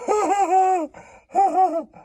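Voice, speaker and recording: Squeaky, giggling cartoon mouse puppet. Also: male voice, fairly even intonation, drawn-out words, dark tone, dry recording, full character voice. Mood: gleeful. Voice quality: squeaky falsetto. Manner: giggly, repeats taunting catchphrases. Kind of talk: comic puppet show promo.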